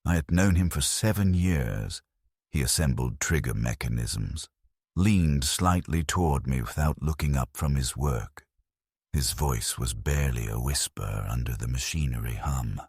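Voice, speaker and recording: A man reading a scripted first-person story as a narrator, in a somber, measured way. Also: a bass voice, husky, no echo, clean studio sound.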